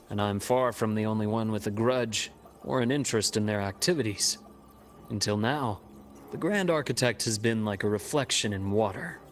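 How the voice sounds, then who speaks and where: A man voicing a composed, analytical investigator in a game, speaking in a measured, explanatory way. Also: fairly low pitch, natural voice. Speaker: game character. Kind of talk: game cutscene voice acting.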